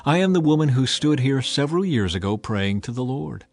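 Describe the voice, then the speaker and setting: A man voicing a devout mother in a dramatized scripture reading, reading her prayer slowly and fervently. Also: mid-range voice, lively intonation. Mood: earnest. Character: grateful devout mother praying aloud.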